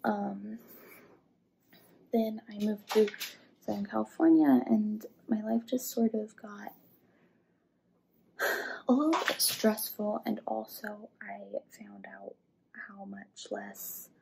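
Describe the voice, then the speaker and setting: A woman in her twenties from the American Midwest, chatting casually through a vintage hair tutorial in a small room, with low whispery asides and quiet audio. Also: creaky, slight background noise, rough recording. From Midwest US, Illinois.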